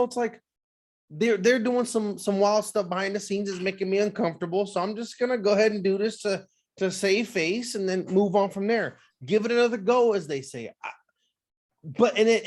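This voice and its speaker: A man's voice: sits high, normal speed. A man co-hosting a casual sports podcast, rambling through fillers and acting out what players might say. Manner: filler-heavy, joking, acts out imagined quotes. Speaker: podcast co-host.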